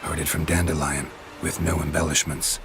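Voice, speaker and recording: Very deep, terse monster hunter. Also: male voice, normal speed, a little hiss or hum. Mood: grave, matter-of-fact. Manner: terse, clipped, deadpan. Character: gruff, weary monster hunter, terse and dry. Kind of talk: video game cutscene acting. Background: music.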